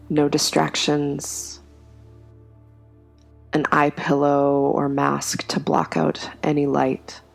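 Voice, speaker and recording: Slow, low, gravelly meditation guide woman. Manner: slow, soft, soothing delivery with long pauses. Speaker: yoga nidra guide.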